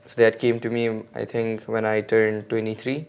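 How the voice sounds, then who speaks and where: A man's voice: lowish voice, completely flat delivery, normal speed, vocal fry, a little mellow, smooth. A man, a guest on a podcast, talking casually and hesitantly with many fillers and restarts. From India. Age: 20s. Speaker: podcast guest, mountain bike racer.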